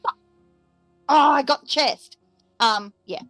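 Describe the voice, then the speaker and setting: Aussie woman playing a tabletop rpg, slow n hesitant, dry close sound. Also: sits high, fairly bright tone, dry recording, soft background music. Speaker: player in a tabletop roleplaying game. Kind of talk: casual game-table chat.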